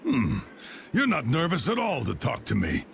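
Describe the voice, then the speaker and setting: Game role: gruff old American chieftain, gravelly, folksy and commanding, very animated pitch. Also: male voice, moderate pace, background noise. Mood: hearty, commanding. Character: blustery, hearty old male guild boss spoiling for a fight. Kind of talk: video game voice acting.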